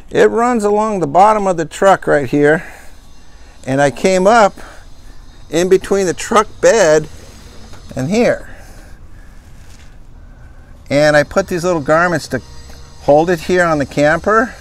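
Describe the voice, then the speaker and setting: A man casually walking through how he fitted gear into his pickup and camper, talking plainly with lots of fillers. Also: sits high. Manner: plain, unhurried, homespun phrasing with fillers. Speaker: vlogger showing his truck camper. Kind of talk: casual show-and-tell walkthrough. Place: in and around a pickup truck.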